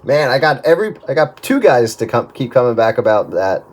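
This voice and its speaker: A man's voice: medium pitch, sing-song, fairly quick, slight background noise. A man chatting casually about technical matters on the radio, thinking aloud in a hesitant way. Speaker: amateur radio operator.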